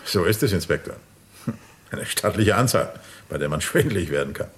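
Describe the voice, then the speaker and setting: A genteel middle-aged gentleman with a deep voice. He is polite, hesitant and a little flustered, a wealthy host in a radio crime play. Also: expressive, normal speed. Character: flustered, well-to-do father hosting a grand party. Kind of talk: German radio crime drama acting.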